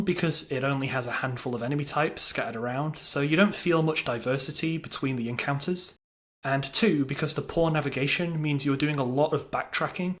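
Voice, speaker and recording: A man delivering scripted narration for a video game review, with a wry, dryly humorous manner. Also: fairly high pitch.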